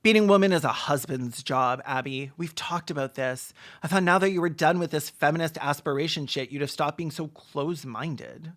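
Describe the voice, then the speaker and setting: A video essay host doing sarcastic comedic commentary with mock-serious, deadpan jokes. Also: medium pitch, normal speed, few pauses.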